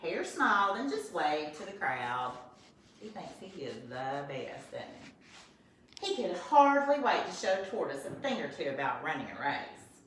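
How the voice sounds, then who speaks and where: Woman, first-grade teacher, gentle storybook narration; far from mic, faint background noise. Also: low-pitched, unhurried. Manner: expressive, gentle, talks to young children. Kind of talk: storybook read-aloud and lesson. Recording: roomy echo from a distance, faint noise.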